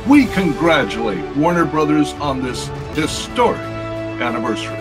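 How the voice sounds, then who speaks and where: A man's voice: a little high, big pitch swings, normal speed. A man announcing formally in the style of a 1940s radio sponsor message. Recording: loud music behind voice. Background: loud music.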